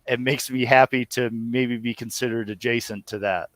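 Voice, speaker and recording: A man's voice: medium pitch, steady tone, normal speed, fairly bright tone, dry recording. A man in his thirties talking casually as an interview guest in a rambling, wry, self-deprecating way. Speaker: guest, audio series co-creator and musician.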